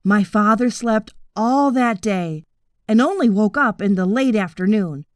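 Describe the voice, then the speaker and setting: A woman narrating a children's story from a script in an expressive, deliberate storytelling manner. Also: mid-range voice, no room sound, clean studio sound. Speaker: audiobook narrator.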